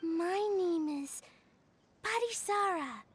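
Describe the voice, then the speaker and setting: High, halting newborn creature child. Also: female voice, lively intonation, exaggerated voice. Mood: innocent wonder. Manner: halting, simple words, echoing others. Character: innocent newborn origami creature child, wide-eyed. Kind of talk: video game voice acting.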